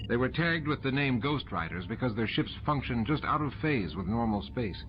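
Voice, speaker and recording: A man reading an audiobook, voicing a practical male chief engineer with a brisk, technical delivery. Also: medium pitch, expressive, lo-fi sound, noisy background, close to an everyday voice. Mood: explaining.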